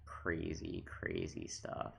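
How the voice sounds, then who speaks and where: A man's voice: a bass voice, big pitch swings, takes it slowly, creaky, fairly bright tone, husky. A man giving casual, off-the-cuff commentary as a gaming video host, talking in a rambling, reminiscing way.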